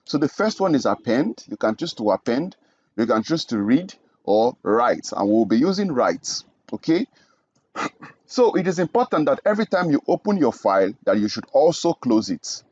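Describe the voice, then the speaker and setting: A man's voice: medium pitch, big pitch swings, normal speed, a little mellow, breathy edge, no room sound, highs cut off. Man talking through a coding lesson. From Nigeria. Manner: explains step by step, often adds "okay?". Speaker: programming instructor. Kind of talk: screen-recorded coding tutorial.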